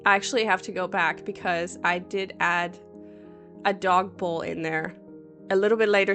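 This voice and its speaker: A woman, around her thirties, with a hoarse voice after a cold, chatting casually and rambling with many fillers over a video game build. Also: medium pitch, a bit bright. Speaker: Sims builder and YouTuber.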